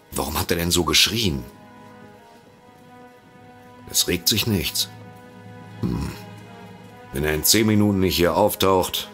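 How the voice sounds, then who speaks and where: An actor playing a sardonic, sceptical detective in an audio drama, dry and sarcastic. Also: male voice, sits low, a bit bright. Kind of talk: German audio drama acting.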